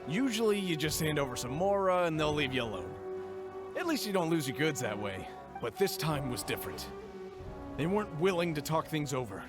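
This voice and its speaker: High-voiced, jittery merchant over music. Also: male voice, sing-song, brisk. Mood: shaken. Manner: nervous, pleading. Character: jittery, frightened male merchant, a family man. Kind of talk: English video game voice acting. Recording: game music behind the voice.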